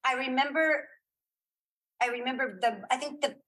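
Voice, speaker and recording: A woman's voice: sits high, moderate pace, fairly bright tone, rough recording. A woman chatting casually as an interview guest, often repeating words and restarting as she goes. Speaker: guest, filmmaker and writer.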